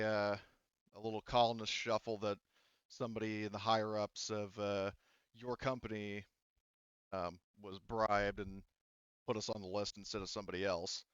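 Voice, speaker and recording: A stoic captured gang boss in a sci-fi tabletop game, played by a man, blunt and coolly bargaining. The voice is smooth and fairly low, recorded dry and a little dull. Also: no room sound, natural voice. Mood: stoic, cooperative.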